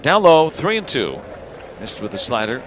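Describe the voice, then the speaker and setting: Male baseball radio announcer, mid-pitched play-by-play commentary, clear outdoor stadium noise behind. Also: big pitch swings, moderate pace, a bit bright. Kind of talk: live radio sports commentary.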